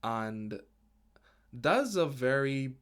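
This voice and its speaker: Twenty-something guy raised in guyana doing his first podcast, earnest, a bit halting, mid-pitched voice. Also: very animated, fairly bright tone. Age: 20s. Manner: earnest, halting, with restarts and fillers. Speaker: podcast host. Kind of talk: casual solo podcast talk.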